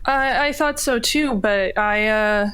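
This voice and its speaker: A woman's voice: fairly high pitch, slow, fairly bright tone, smooth. A woman roleplaying casually as a player in a tabletop game, understated and conversational.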